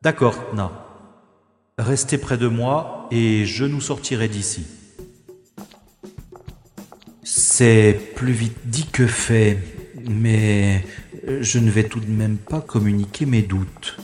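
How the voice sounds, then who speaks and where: A man's voice: fairly low pitch, slow, a little mellow. A man playing a wry, gallant adventurer in an audio drama, speaking formally and thinking aloud. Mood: wry, reassuring. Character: wry, gallant male adventurer rescuing a young woman. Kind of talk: French-language audio drama acting with first-person narration. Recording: echoey long reverb, music behind.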